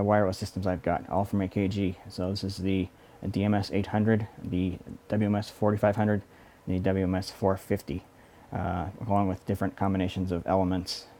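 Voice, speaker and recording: A man hosting a casual audio equipment demo, explaining in a halting, loosely improvised way. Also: low-pitched, mellow, a little hiss or hum. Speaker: video host, audio equipment reviewer.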